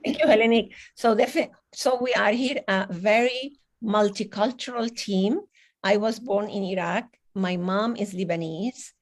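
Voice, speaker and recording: A woman's voice: mid-range voice, measured pace. Earnest Venezuelan woman hosting a panel. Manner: earnest, non-native phrasing with restarts. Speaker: panel host. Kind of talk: conference panel talk.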